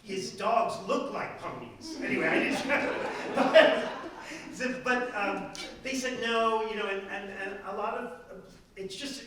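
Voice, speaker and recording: A man's voice: sits high, fairly bright tone. A male art history professor talking casually, rambling and restarting his sentences as he answers an audience comment. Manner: rambling, self-interrupting, chatty. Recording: echoey hall, speaker far from the mic.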